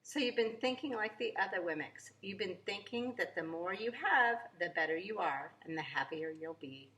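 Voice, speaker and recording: A woman voicing a kind, calm, fatherly woodcarver in a children's storybook, asking things gently and patiently. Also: mid-range voice, moderate pace, dull audio. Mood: kind, questioning. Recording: echoey room.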